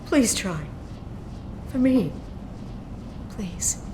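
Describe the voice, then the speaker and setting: Female game role: bereaved working-class mother, desperate, tearful, clear background noise. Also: mid-range voice, expressive, extremely slow, dark tone. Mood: pleading. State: distraught, tearful. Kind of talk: video game voice acting. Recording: clearly noisy game ambience.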